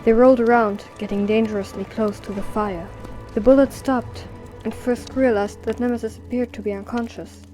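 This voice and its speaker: A woman's voice: fairly high pitch, fairly even intonation, normal speed, few pauses, mellow, soft background music. A woman reading scripted story narration for an audio drama.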